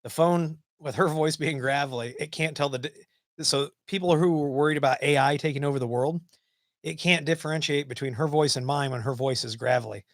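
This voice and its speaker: A man's voice: a little high, a little fast, no echo. Guy in his 40s chatting on a desk webcam stream, lots of ums, dry close sound. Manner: relaxed, rambling, many ums and restarts. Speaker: livestream host. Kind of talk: casual live-stream chat reading viewer comments. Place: small home office, indoors.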